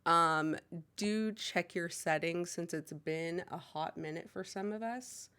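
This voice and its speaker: A woman's voice: fairly low pitch, slow, sharp tone, clear, resonant tone. Nasal woman game master, casual swearing. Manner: casual, swears often, laughs a lot. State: nasal from a cold. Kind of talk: casual chat opening a tabletop game livestream.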